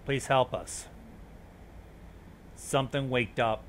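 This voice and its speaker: A man's voice: mid-range voice, fairly even intonation, takes it slowly, a little hiss or hum. A man hosting a reaction show, reading on-screen text aloud and adding commentary.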